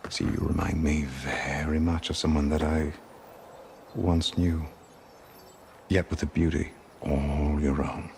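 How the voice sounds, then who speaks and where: A man's voice: extremely low pitch, little pitch movement, slow pace, a little mellow, slight background noise, full character voice. An actor playing a smug, pompous older male villain in a dramatic video game scene. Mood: flattering. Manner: pompous, theatrical, condescending.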